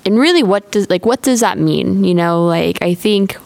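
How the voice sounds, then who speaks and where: A woman's voice: mid-range voice, normal speed, gravelly, a little mellow, dry recording. A young woman hosting a campus podcast, chatting in a loose, encouraging way with many fillers. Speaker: podcast host.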